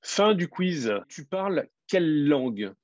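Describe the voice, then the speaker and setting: A man who teaches French, hosting an interview and asking quiz questions clearly and encouragingly. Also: on the high side, big pitch swings, slow, no echo, lo-fi sound. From France.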